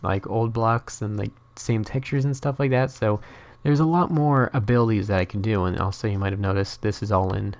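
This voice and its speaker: A man's voice: fairly low pitch, a little fast, no echo. A young man whose first language is Norwegian, giving casual, rambling gameplay commentary full of fillers and restarts. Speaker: gaming channel host.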